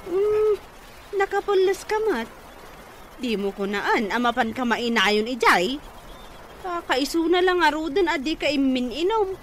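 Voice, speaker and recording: A woman's voice: high voice, lively intonation, measured pace, a bit bright. A woman with a northern Philippine accent plays a polite, sweet young woman in radio comedy drama, in a coy manner. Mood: friendly, curious. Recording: faint background noise.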